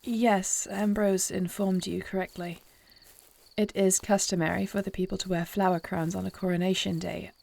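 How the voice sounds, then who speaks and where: A woman's voice: mid-range voice, flat delivery, normal speed, creaky, a little mellow. A woman playing a short-tempered but caring newly crowned queen in a fantasy audio roleplay, with formal, regal phrasing. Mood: touched, curious.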